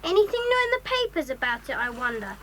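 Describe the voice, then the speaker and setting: Very high, bright, cheeky schoolboy. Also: female voice, very animated, quick pace, a little hiss or hum, exaggerated voice. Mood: curious. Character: cheeky, joking young schoolboy adventurer.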